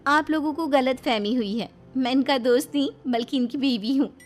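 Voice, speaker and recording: Woman acting high-pitched, swaggering young daughter-in-law. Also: moderate pace, soft background music, lightly acted. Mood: boastful, stubborn. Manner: theatrical, boastful. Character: swaggering, stubborn young daughter-in-law obsessed with a film hero. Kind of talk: Hindi cartoon story voice acting.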